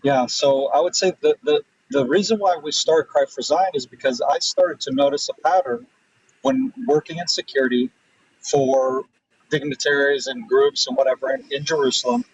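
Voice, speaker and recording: Husky man on a distant, dull line. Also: fairly high pitch, animated. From Israel. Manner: thoughtful, pauses with fillers and restarts. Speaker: guest. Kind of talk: conversational interview answers. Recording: distant, dull remote audio.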